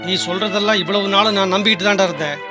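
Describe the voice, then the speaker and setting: A man's voice: high voice, rapid-fire, close to an everyday voice. An actor playing a loyal, earnest young working man in a Tamil radio drama. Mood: angry, betrayed. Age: young adult. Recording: music behind the voice.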